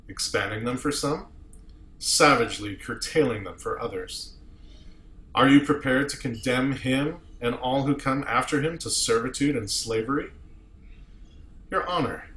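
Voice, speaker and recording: A man playing an eloquent starship officer arguing as a defense advocate, with probing, deliberate rhetoric in a table read. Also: medium pitch, fairly bright tone, roomy sound. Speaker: amateur actor in a table read. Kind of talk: dramatic courtroom monologue.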